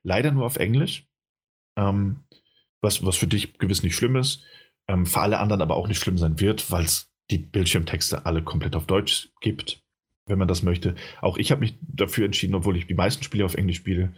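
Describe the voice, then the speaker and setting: A man's voice: low-pitched, fairly even intonation, brisk, husky. A man chatting casually about a game he is playing, rambling with many fillers and restarts. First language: German. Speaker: game reviewer. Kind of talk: casual podcast chat.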